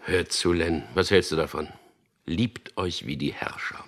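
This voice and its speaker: An actor playing a wry, weary propaganda copywriter in a German radio drama, speaking in a dry, ironic way. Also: male voice, deep voice, steady tone, a little slow, fairly bright tone. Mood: teasing banter. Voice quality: husky, gravelly. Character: wry, weary male propaganda copywriter, narrating his story. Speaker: lead actor and first-person narrator.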